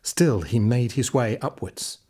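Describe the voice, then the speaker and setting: A man narrating a children's fairy tale in an expressive, scripted storytelling style. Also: mid-range voice, unhurried, husky. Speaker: audiobook narrator.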